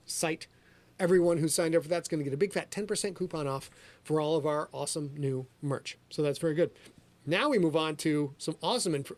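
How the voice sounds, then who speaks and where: A man hosting a livestreamed podcast, reading his own fiction aloud and chatting in a quick, energetic, profane, joking way. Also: fairly high pitch. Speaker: author and podcast host. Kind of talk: livestream chat and story reading. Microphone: livestream camera setup.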